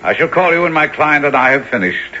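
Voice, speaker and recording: A man's voice: fairly high pitch, expressive, brisk, very noisy, close to an everyday voice. A man acting a grave, fatherly older attorney with a formal, avuncular manner in a period radio drama. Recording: dull lo-fi old radio sound.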